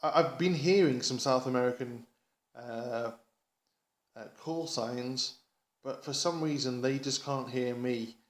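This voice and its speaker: The sound is roomy and echoey around a man from England with a middling-low voice. He talks like a hobbyist vlogger giving a casual update. His delivery is enthusiastic and rambling, halting often and doubling back on his words. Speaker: amateur radio hobbyist vlogger.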